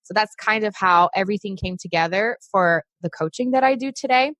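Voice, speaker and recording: A woman's voice: mid-range voice, fairly quick, fairly bright tone, no echo. Chatty woman from Brazil, dry close sound. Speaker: podcast guest, success coach for moms. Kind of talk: casual interview chat.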